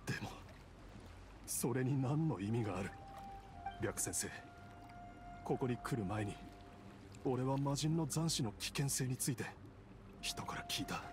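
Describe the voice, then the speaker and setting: A man's voice: mid-range voice, animated, a little slow, long pauses, lightly acted. An actor playing an ailing young man in an anime game, earnest, wistful and resigned to sacrifice. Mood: resigned, sorrowful. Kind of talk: Japanese anime game acting. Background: background music.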